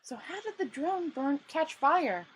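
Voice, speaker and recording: A woman's voice: high-pitched, expressive, a little slow, slight background noise, voice pushed up, low-quality recording. A woman reading a storybook and voicing an exasperated, short-tempered male wizard, snappish and urgent. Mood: incredulous.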